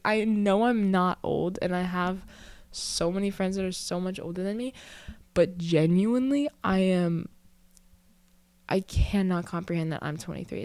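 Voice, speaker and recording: Chatty young woman with a smooth voice. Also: on the low side, darkish tone, no room sound. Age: early 20s. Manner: chatty, self-reflective, full of "like" fillers. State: a little out of breath. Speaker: podcast host. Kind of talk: casual solo podcast monologue.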